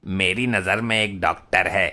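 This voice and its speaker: Low-voiced, exasperated cartoon man acted by a man. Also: fairly even intonation, measured pace, over music. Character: exasperated village man, scolding and baffled. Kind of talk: cartoon comedy dialogue.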